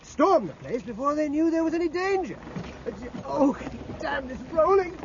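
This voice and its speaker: An actor playing an impatient, self-critical young British naval officer in a 1950s radio drama. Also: male voice, extremely high pitch, animated, moderate pace, noisy background. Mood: exasperated. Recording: dull old radio recording, faint music.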